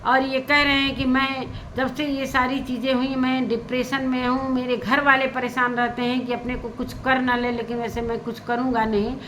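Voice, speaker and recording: A woman's voice: high voice, level tone, moderate pace, hardly any pauses, fairly bright tone, a little hiss or hum, low-quality recording. A woman vlogger giving casual, opinionated commentary, repeating her points for emphasis. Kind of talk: casual spoken commentary on social media gossip.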